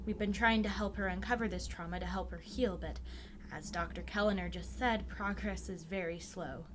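An actress playing a protective, careful caregiver at a psychiatric ward in a radio drama. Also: female voice, mid-range voice, fairly even intonation, moderate pace, lightly acted. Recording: audible background noise.

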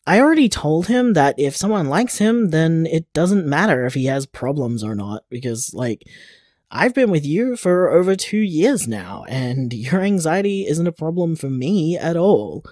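A man playing a loving, supportive boyfriend in an audio drama, speaking earnestly and haltingly, with casual tenderness. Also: fairly high pitch, big pitch swings, darkish tone, dry recording, studio quality. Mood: caring, concerned. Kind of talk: audio drama voicemail acting.

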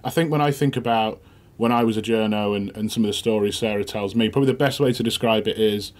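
A man's voice: on the low side, quick pace. A man co-hosting a music podcast, chatting in a self-deprecating way with many fillers. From Manchester, England. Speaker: podcast co-host, former music journalist. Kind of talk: casual podcast chat.

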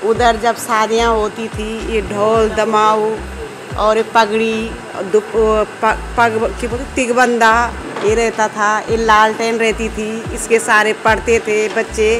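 A woman's voice: fairly high pitch, monotone, measured pace, low-quality recording. Fond middle-aged woman explaining. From Garhwal, Uttarakhand. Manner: fond, explanatory, lists objects. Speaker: family member, mother. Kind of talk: casual show-and-tell. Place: small indoor cafe. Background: music.

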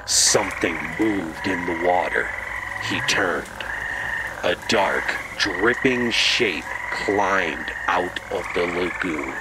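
A man narrating a children's story in radio drama style, deliberately and dramatically. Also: low-pitched, expressive. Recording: noisy ambient sound effects behind.

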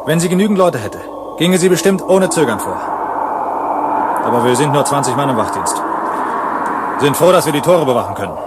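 An actor playing a plain-spoken male security guard in a radio play, colloquial and halting. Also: a little high, lively intonation, heavy background noise, natural voice. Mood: chatty, wary. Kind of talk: German radio play acting.

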